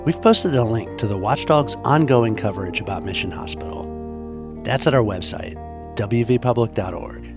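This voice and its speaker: A man hosting a public radio show, reading scripted narration in a measured, announcing way. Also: fairly low pitch, expressive. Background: music.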